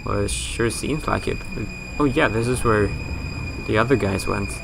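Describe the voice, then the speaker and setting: A man's voice: on the low side, moderate pace, creaky, noisy background. A man from Sweden with a Swedish accent, rambling and joking his way through a horror game let's play. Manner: rambling, self-mocking, swears casually. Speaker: gaming YouTuber.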